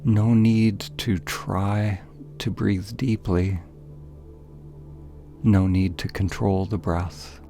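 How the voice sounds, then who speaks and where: A man narrating a guided meditation in a calm, gentle, deliberate way, leaving pauses between phrases. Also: fairly low pitch, gravelly, faint music behind.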